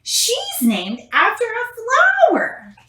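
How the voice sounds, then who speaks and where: Woman doing a shrill, teasing little mouse schoolgirl. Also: huge pitch swings, slow pace, pushed and bright, heavily acted. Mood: mocking. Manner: sing-song mocking. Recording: roomy home camera recording.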